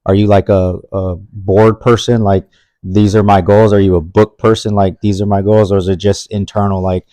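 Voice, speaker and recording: A man's voice: fairly low pitch, level tone, warm tone, no room sound. A man in his late thirties hosting a casual podcast interview, joking and blunt as he asks questions. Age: 30s. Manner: quick banter, blunt and joking. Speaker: podcast host.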